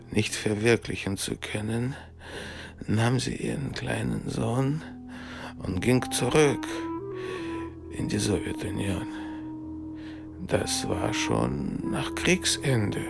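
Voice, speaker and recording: A reminiscing émigré in a radio play, with a heavily creaking voice. He speaks very slowly and haltingly, his pitch swinging widely, with music underneath. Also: exaggerated voice. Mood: wistful, halting. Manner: halting, with long pauses. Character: halting male émigré recalling a failed escape from the Soviet Union. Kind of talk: radio drama acting, slow recollection.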